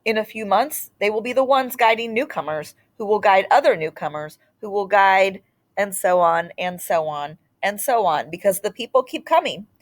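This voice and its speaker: A woman storyteller reading a picture book aloud expressively, in short deliberate phrases with dramatic pauses and the odd aside. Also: medium pitch, animated.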